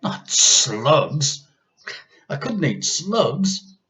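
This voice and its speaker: A man's voice: fairly high pitch, sing-song, extremely slow, sharp tone, pitched above the performer's own voice, heavily acted. A man voicing a polite, squeamish little boy in a storybook, protesting in disgust.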